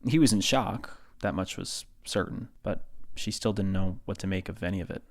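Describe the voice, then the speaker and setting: A man playing a haunted investigative podcast host, narrating conversationally and confidingly with pauses for effect. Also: deep voice, vocal fry, dry recording. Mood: measured, confiding. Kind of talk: conversational scripted true-crime narration.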